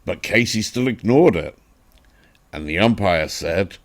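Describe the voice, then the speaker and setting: Male reader, English accent, low voice, slow pace, theatrical poem recitation, emphatic phrasing. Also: lively intonation. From England. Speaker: poetry reader. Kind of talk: dramatic recitation of a narrative poem.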